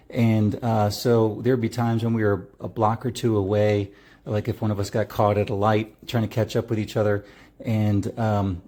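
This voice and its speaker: A man's voice: lowish voice, mellow. A middle-aged man giving a casual product review in an easygoing, rambling way.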